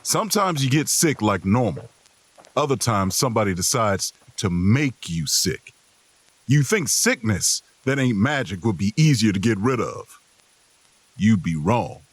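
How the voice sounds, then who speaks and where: A queasy, worn-out traveler narrating an audio fiction road story. The voice is low and rough with a lively range, recorded very dry. The delivery is slow, weary and wry. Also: male voice, no room sound, heavily acted. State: queasy, groaning.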